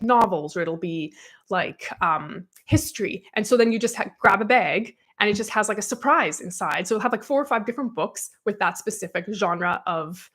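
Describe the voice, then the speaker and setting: A woman's voice: medium pitch, a bit bright. A young adult woman, podcast co-host, talking casually and rambling with lots of fillers and self-deprecating asides. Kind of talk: casual chat.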